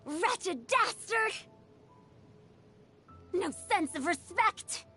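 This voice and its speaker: A woman's voice: very high-pitched, animated, very slow, sharp tone, soft background music, heavily acted. A woman voicing a feisty childlike elder in an anime game, with haughty, old-fashioned phrasing. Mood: indignant scolding. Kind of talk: anime video game voice line.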